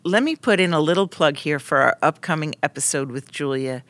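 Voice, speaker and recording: A woman's voice: low-pitched, a little fast, a bit bright, no room sound. A woman hosting a podcast, giving scripted introductions and asking interview questions. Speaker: podcast host.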